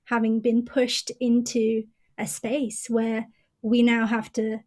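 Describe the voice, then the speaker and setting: Thoughtful woman with a higher voice. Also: monotone. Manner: thoughtful, measured, sometimes trails off softly. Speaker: podcast guest. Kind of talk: conversational podcast discussion.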